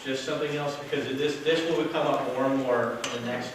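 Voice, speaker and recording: Loud presenter in a very echoey room. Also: male voice, mid-range voice, steady tone, a little fast. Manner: loud projecting voice, no microphone; casual, chatty, with fillers. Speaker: hotel sales director. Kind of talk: workshop presentation to a live audience. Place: meeting room with an audience. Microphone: distant camera, no microphone. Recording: very echoey room from far off with noise.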